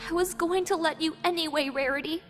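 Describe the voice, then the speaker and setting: A woman voicing frightened female cartoon ponies in a fan story, halting, stammering and pleading. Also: extremely high pitch, steady tone, a bit bright, faint music behind, voice pushed up. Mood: anguished, halting.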